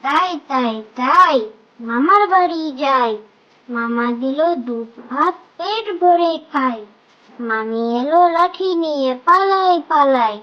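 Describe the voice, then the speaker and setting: A woman reciting children's nursery rhymes in sing-song, measured phrases. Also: sits high, lively intonation, dull audio.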